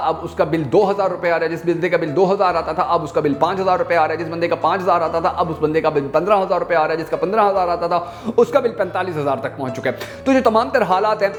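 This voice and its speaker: A man's voice: a little high, quick pace, faint music behind. A man with a Pakistani accent presenting news commentary to viewers, speaking emphatically and piling up repeated phrases for rhetorical effect. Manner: emphatic, repetitive rhetorical build-up. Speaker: YouTube news channel host.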